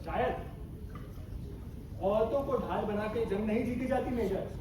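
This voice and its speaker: Casting: male actor as defiant, sarcastic army captain, high voice, impassioned stage delivery, very echoey hall with clear noise. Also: unhurried. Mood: grim, bitter. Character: bitter, defiant army captain weary of war. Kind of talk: theatrical Hindi stage acting. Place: stage of a hall at a student festival. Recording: echoey hall, far mic, noisy.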